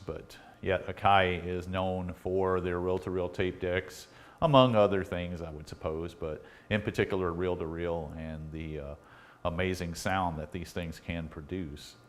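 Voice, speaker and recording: Low-voiced man casually demonstrating gear. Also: fairly even intonation, moderate pace. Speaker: host of electronics repair videos. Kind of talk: casual product demonstration talk.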